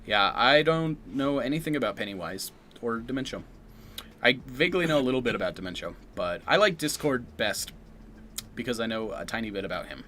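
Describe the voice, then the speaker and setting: A man's voice: medium pitch, lively intonation, brisk, pushed and bright, slight background noise. A man co-hosting a casual livestream Q&A, laid-back and dry in his remarks. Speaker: co-host of a livestream Q&A.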